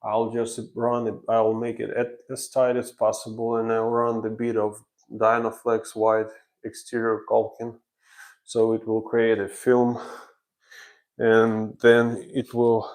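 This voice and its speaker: A man from Ukraine, a renovation contractor, explaining his work step by step on a job-site livestream, in slow, halting speech. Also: mid-range voice, level tone.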